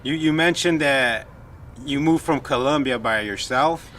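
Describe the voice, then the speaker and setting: Bright-voiced easygoing podcast host. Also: male voice, medium pitch, a little slow, a little hiss or hum. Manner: easygoing, reacts with short exclamations. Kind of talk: casual interview questions.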